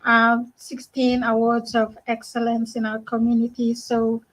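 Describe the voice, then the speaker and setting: A woman from Bougainville hosts a relaxed online community call from her home. Her voice sits high, and she laughs easily and thanks each guest warmly. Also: a little slow, low-quality recording. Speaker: host. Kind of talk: casual online community chat.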